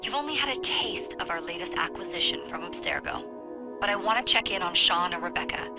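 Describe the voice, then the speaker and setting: Actress as businesslike woman handler for a game; slightly low, plain delivery, prominent score. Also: lively intonation, brisk, loud music behind the voice, close to an everyday voice. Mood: brisk, uneasy. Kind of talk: video game cutscene acting.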